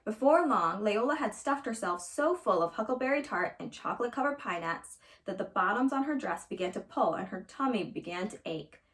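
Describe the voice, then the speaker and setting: A woman storyteller reading a children's fairytale aloud with expressive narration. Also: mid-range voice, brisk, few pauses.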